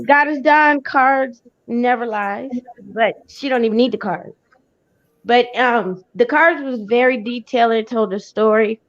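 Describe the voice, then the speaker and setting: A stuffy, congested woman from Las Vegas chatting excitedly on a phone during a live stream. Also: fairly high pitch, highs cut off. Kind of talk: casual live-stream chat.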